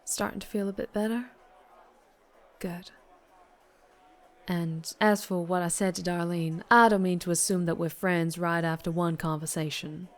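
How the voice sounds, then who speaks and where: A woman's voice: fairly low pitch, steady tone, unhurried, long pauses. A woman playing a flirtatious, doting but fierce vampire biker in an ASMR roleplay, with a Southern US accent and a teasing manner. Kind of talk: ASMR roleplay, intimate character monologue.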